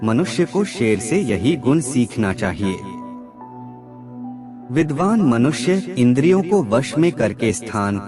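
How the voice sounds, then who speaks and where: Unhurried male narrator with lively intonation. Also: medium pitch. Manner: measured, instructive recitation. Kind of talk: scripted narration of ancient moral aphorisms.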